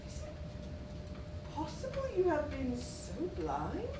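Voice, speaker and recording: An actress as a prying society gossip on stage, high-voiced and highly animated. She oozes false sympathy and coy, theatrical insinuation. The sound is distant, as if from across a theatre. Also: slow pace, full character voice. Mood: mock sympathy. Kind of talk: stage acting in a period one-act play. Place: theatre stage, recorded from the audience area. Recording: roomy, noisy stage recording from far off.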